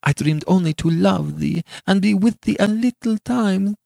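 Man voicing a high-pitched, sorrowful young woman. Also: moderate pace, creaky, darkish tone, dry recording, clean studio sound, full character voice. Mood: anguished pleading. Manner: archaic, earnest, lamenting diction. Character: gentle, grief-stricken young woman, innocent and pleading.

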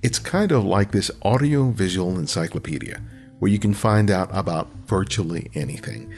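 A man's voice: low voice, lively intonation, moderate pace, a little mellow, soft background music. A man hosting a photography interview podcast, chatty, with restarts when he asks questions. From United States. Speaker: podcast host. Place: home studio, Southern California.

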